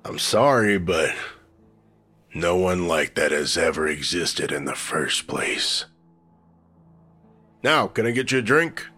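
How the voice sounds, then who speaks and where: An actor playing a wry, deadpan bartender who hosts spooky tales in a comedy horror radio play. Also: male voice, low voice, slow pace, pauses often, husky, faint music behind, heavily acted. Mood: affable, deadpan.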